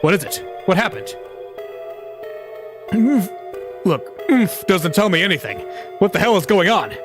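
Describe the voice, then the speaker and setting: A male narrator voicing an impatient, brash adult man in a storybook reading, with a loud, raised, strained voice. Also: sits high, sing-song, fairly quick, music in the background, pitched above the performer's own voice. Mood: impatient, alarmed.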